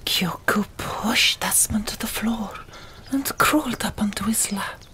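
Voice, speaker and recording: A woman narrating an audiobook in a breathy ASMR whisper, hushed and deliberate, pausing between short phrases. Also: mid-range voice.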